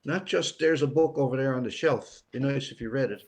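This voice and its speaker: A man's voice: medium pitch, fairly quick, a little hiss or hum. An older man, an author talking plainly in short, emphatic phrases in an interview. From Newfoundland, Canada. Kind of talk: conversational interview.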